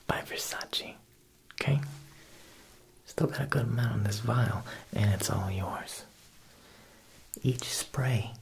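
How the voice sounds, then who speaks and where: Whispering, creaky mob boss played by a man. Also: fairly low pitch, poor audio. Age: young adult. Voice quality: breathy whisper with creaky edges. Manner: slow, deliberate, coaxing with veiled threat. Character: smooth, menacing Italian mob boss tailor, male. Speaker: ASMR performer. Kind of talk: whispered ASMR roleplay.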